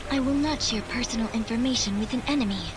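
A woman's voice: a little high, fast, lightly acted. Woman voicing a cold, aloof, precise girl. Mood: icy, hostile. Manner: stern, formal, clipped, detached. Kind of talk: video game cutscene acting. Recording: loud music behind. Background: loud game soundtrack.

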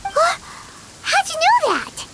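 A woman voicing a tiny, childlike fairy companion in a video game, squeaky, eager and excitable. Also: slow pace, very noisy, heavily acted. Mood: polite, amazed. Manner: excitable, speaks of herself in third person. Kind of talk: animated game dialogue. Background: background music.

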